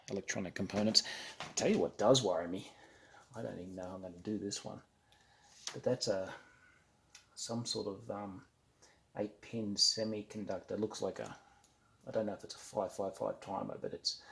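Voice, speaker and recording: A man with a fairly low voice and strong vocal fry talks through hands-on hobby work. His delivery is casual and unscripted, with a halting flow as he thinks aloud. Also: pushed and bright, a little hiss or hum. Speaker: hobbyist narrating an electronics kit build. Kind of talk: casual unscripted how-to commentary.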